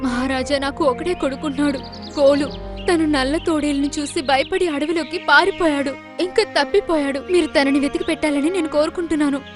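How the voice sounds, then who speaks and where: A woman's voice: sits high, steady tone, brisk, music in the background, natural voice. A woman voicing a pleading, deferential mother deer in a children's cartoon. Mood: distressed, pleading. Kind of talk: Telugu children's cartoon voice acting.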